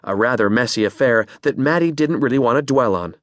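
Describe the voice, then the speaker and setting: A man narrating an audiobook in measured, expressive storytelling from a close studio mic. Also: medium pitch, no echo. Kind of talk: scripted narration.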